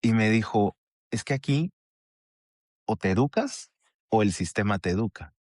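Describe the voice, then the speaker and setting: A man with a low voice hosts a relaxed podcast conversation. He talks in a reflective, easygoing way and often chimes in with short affirmations. The recording is dry and close, with no room sound. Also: normal speed, no room sound. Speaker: podcast host. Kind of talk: casual interview chat.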